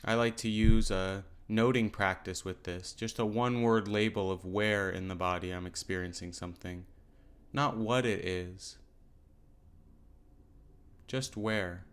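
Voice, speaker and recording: Smooth low-voiced man guiding meditation. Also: fairly even intonation. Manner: gentle, unhurried instruction with pauses. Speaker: meditation teacher. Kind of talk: guided mindfulness meditation.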